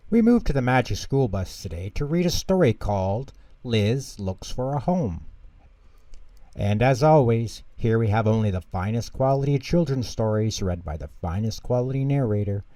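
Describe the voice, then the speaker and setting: A man narrating a scripted children's story as host, with an expressive, deliberate delivery. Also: mid-range voice, dry recording.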